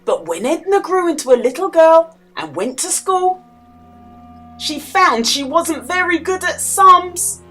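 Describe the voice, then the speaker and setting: A woman reading a children's storybook aloud as a storyteller, with dramatic emphasis and deliberate pauses for young listeners. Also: very high voice, animated, pushed and bright, soft background music. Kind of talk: expressive children's storybook read-aloud with quiz.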